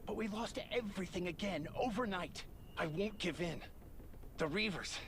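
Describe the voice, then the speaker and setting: An actor playing an angry, grieving survivor guard in a video game, bitter but resolute. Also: male voice, high voice, moderate pace, a bit bright, slight background noise. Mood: angry, determined. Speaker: game character. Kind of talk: dramatic video game dialogue.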